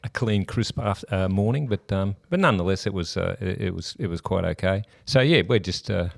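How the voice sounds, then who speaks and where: A middle-aged man, a cycling club president, speaking in a halting way with many restarts in a casual chat. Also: low-pitched, vocal fry, no room sound, clean studio sound.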